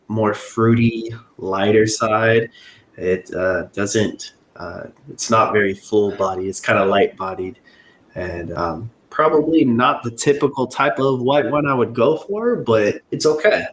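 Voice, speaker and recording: Male co-host, mellow and agreeable, low-ish voice, roomy echo. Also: lively intonation, unhurried, a little hiss or hum. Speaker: podcast co-host. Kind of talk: casual chat. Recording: echoey room.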